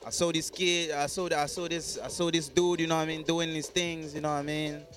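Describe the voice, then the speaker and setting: A man's voice: a little high, level tone, fairly quick, fairly bright tone, faint music behind. A man of twenty-six from Congo, raised across Africa, chatting casually as an interview guest with slangy, repeated tag phrases. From Congo, grew up across Africa. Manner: slangy, frequent "you know what I mean" tags. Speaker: podcast guest, musical artist.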